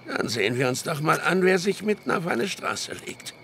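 An actor playing a sarcastic, grumbling older horror author in a radio play. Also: male voice, on the high side, animated, moderate pace, vocal fry, a bit bright, a little hiss or hum. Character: older male horror author, dry and sardonic.

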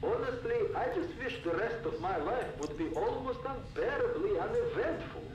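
A man's voice: high voice, animated, normal speed. An actor playing a disillusioned, distrustful male scientist who longs for peace, earnest and brooding, in a video game audio log. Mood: wistful. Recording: roomy, distant, noisy cassette tape recording.

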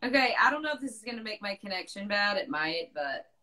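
A young woman chatting casually on a livestream video call, bubbly and quick to laugh, speaking into a phone held at arm's length. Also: medium pitch, lively intonation, pushed and bright. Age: young adult. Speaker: livestream co-host. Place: bright room indoors.

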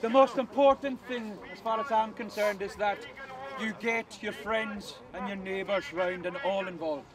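A middle-aged man speaking to a protest crowd without a megaphone, projecting his big voice forcefully. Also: high voice, normal speed. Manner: loud unamplified address, forceful. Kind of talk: street protest speech. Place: outdoors by a hotel car park. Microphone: far camera, no megaphone. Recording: distant outdoor voice, crowd noise.

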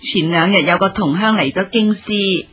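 An actress playing a humble, grateful elderly mother in a radio drama, husky and deferential. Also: medium pitch, normal speed, fairly bright tone. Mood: humble, courteous. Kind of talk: Cantonese radio drama acting.

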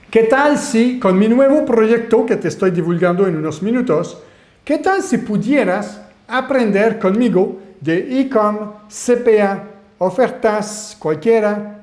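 A man's voice: high-pitched, reverberant. French-Canadian man hosting a webinar. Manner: animated, asks the audience questions. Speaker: online marketing mentor. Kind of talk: webinar presentation.

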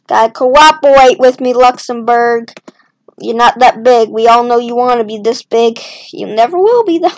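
A woman's voice: a little high, normal speed, dry recording. A woman talking to herself in casual, rambling commentary as she draws a map on screen, with jokey asides. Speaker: map-making video creator. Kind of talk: casual commentary while drawing.

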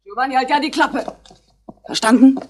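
A woman's voice: high voice, normal speed, a little mellow. An actress playing a defiant, jumpy young bar girl, snappish and agitated, in a 1960s crime radio drama.

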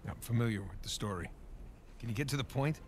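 A man's voice: medium pitch, expressive, fast, close to an everyday voice. Man playing a sardonic American adventurer, noisy background. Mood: exasperated. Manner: dry, sarcastic. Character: wisecracking adventurer held prisoner, a sardonic, cocky man. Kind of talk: naturalistic video game cutscene acting.

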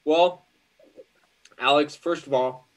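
A man in his thirties with an American accent, a lawyer thinking aloud as he chats casually and gives hedged advice. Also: a little high. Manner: halting, hedging, thinking aloud. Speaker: lawyer answering call-in questions. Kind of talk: casual legal advice chat on a livestream. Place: indoors in front of a green screen, on a video call.

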